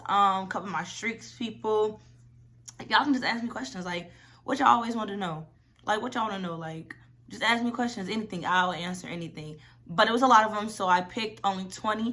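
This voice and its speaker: A woman's voice: on the high side, pushed and bright. A woman of twenty-one, chatty and rambling, answering questions in a casual vlog. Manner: chatty, rambling, lots of "y'all" and "like". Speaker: vlogger.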